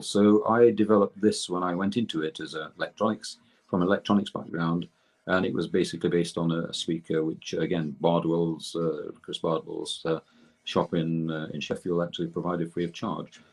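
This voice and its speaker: An older man explaining technical matters as an interview guest, in a halting way with repeated words. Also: low-pitched, fairly even intonation, a little mellow. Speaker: guest expert and researcher in electrotherapy.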